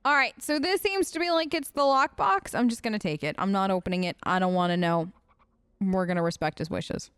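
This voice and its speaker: A woman's voice: mid-range voice, lively intonation, fairly quick, fairly bright tone, clean tone, dry recording. A woman giving casual, chatty gameplay commentary, often talking to herself and to the characters as she plays. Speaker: let's play host.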